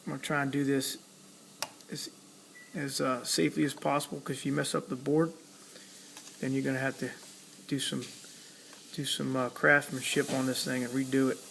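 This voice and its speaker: A man's voice: medium pitch, little pitch movement, moderate pace, gravelly, long pauses, fairly bright tone, slight background noise. A man giving a casual hands-on tutorial, talking plainly step by step as he works at a repair task. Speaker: electronics hobbyist demonstrating a repair.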